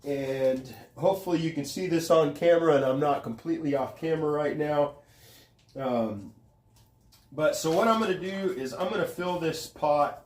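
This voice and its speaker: A man's voice: mid-range voice, a little hiss or hum. A man hosting a gardening vlog, talking casually with many ums and uhs, a little stuffy from a cold, recorded on a phone on a tripod. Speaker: gardening channel host. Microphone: phone camera on a tripod.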